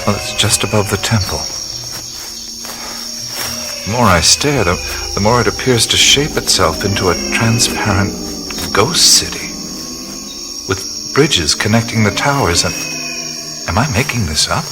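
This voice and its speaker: Radio play lead: an awestruck male adventurer, unhurried and hesitant, with widely swinging pitch. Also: animated. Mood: curious wonder. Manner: slow, halting, musing, often dropping to breathy whisper. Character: awestruck male adventurer exploring mysterious ruins, wondering and hesitant. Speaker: lead actor in an audio drama. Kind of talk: radio drama acting, first-person narration of discoveries. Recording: music bed behind the voice. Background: music.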